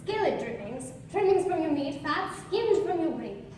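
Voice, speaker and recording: An actress playing a bright, earnest woman in wartime radio adverts on a live stage. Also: very high-pitched, very animated, normal speed. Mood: brisk, earnest. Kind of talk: 1940s radio advert acting. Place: stage of an opera house, live show. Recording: echoey hall, far mic, audience noise.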